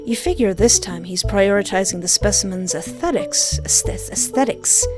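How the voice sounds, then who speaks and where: Female gamer, medium pitch, reads story text aloud and tosses in jokey asides, music clearly behind. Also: normal speed. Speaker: let's player. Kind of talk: reading game narration aloud with casual commentary. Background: game music.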